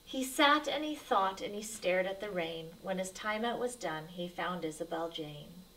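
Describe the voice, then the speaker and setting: A woman's voice: on the low side, animated, moderate pace, a bit bright, distant mic, a little hiss or hum, rough recording. A woman reading a rhyming picture book aloud to children as a storyteller, with dramatic, emphatic delivery.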